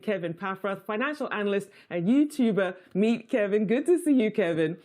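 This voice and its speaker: A woman's voice: mid-range voice, animated, moderate pace, darkish tone. A woman news anchor introducing a guest and opening a TV interview in a friendly way. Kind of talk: live TV interview.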